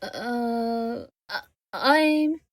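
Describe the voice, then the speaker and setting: A woman acts out a naive, earnest young female sleuth. She talks slowly and hesitantly in a fairly high voice. It is a dry, close radio drama recording with music behind. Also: no room sound. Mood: puzzled, hesitant.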